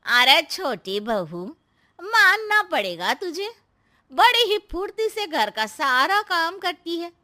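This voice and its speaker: A woman voicing a meddling middle-aged aunt in a family story, sly and sulky, with taunting, drawn-out sympathy. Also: extremely high pitch, big pitch swings, bright, forceful tone, full character voice. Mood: sly flattering. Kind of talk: Hindi moral story voice acting.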